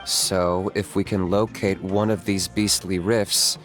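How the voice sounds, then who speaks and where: An actor playing a pragmatic, level-headed young monster hunter in a video game, composed and matter-of-fact. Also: male voice, sits low, level tone, slow, fairly bright tone, faint music behind. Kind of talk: video game character acting.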